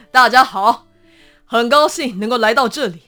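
A woman voicing a hearty, booming martial-arts hero. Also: pushed and bright, exaggerated voice.

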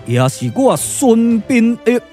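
A man with a Taiwanese accent playing a solemn, resolute strategist and sorcerer in a puppet-theatre radio drama, speaking gravely. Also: very high voice, big pitch swings, over music, exaggerated voice. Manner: grave, measured incantation.

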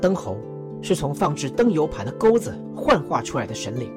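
Learned advisor, patient storyteller, lively intonation, faint music. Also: medium pitch, a little fast. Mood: explaining. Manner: explaining, storytelling. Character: learned calm male court advisor.

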